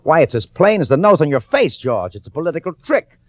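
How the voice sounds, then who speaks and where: An actor in an old-time radio drama playing a self-assured, two-faced male lawyer with a calm, reassuring manner. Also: on the high side, brisk. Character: smooth, two-faced male lawyer posing as loyal friend. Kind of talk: 1940s radio acting with first-person narration. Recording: dull vintage radio with music.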